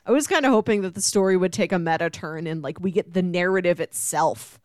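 A woman's voice: on the low side, fairly quick, darkish tone, no echo, studio quality. A woman chatting casually as a guest co-host, informal and reflective in manner. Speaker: guest co-host discussing books. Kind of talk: casual chat.

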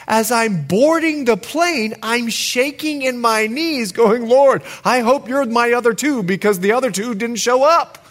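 A Bahamian man preaching a sermon, telling his story in a dramatic, animated way and acting out every scene. His voice sits high for a man and swings widely between hushed low moments and bright, excited peaks.

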